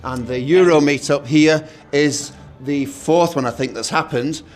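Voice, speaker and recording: Brief: middle-aged male co-host with a loud voice, chatty storyteller who stumbles over restarts and slips in dry jokes. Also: a little high, unhurried. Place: hotel meeting room.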